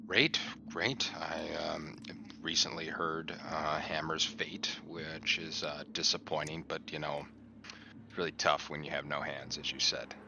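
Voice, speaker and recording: A man's voice: very deep voice, flat delivery, measured pace, sharp tone. A man chatting casually as a tabletop game player, with dry joking. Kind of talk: casual chat. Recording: clearly noisy background.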